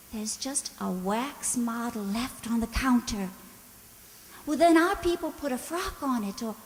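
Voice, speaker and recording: A woman playing a frightened, naive young maid in a radio drama, speaking gently and hesitantly. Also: sits high, big-room sound, rough recording. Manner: hesitant, timid, repeats words.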